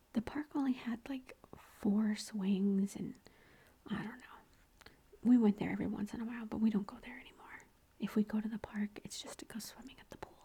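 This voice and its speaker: A mother whispering softly as she shows family photos, gushing and chatty, close to the mic at home. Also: on the high side, slightly rough. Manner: soft unvoiced whisper throughout, gushing and chatty. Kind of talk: whispered ASMR photo show-and-tell.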